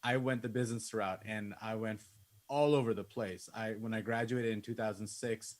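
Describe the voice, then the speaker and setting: A man's voice: on the low side, steady tone, moderate pace. A man in his thirties from Bangladesh, a musician talking informally and conversationally to an online class. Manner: informal, conversational, peppered with like and you know. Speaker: musician and music producer giving a masterclass. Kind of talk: casual autobiographical talk to an online class. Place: indoors on a video call.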